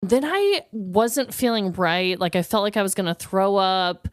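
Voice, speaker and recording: A woman podcast co-host telling stories casually, expressive and candid, swearing freely. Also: medium pitch, measured pace, no room sound, clean studio sound. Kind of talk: casual conversational storytelling.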